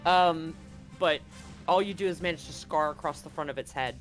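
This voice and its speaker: A man with a somewhat high voice runs a tabletop roleplaying game. He narrates casually and explains haltingly, often rephrasing as he goes. Music plays faintly underneath. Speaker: game master.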